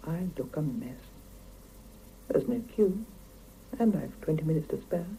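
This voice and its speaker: Very dark female voice, gravelly edge, terse weary narration, wry, vintage radio play. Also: low voice, lively intonation, measured pace, full character voice. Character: weary, wry woman narrating her hectic day. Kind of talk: clipped first-person drama narration. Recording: faintly noisy 1975 radio cassette recording.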